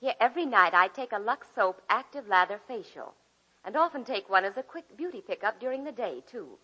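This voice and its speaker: An actress playing a contented housewife giving a testimonial in a 1940s radio advertisement. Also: female voice, fairly high pitch, expressive, fairly quick, sharp tone. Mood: pleased, instructive. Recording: dull vintage radio recording.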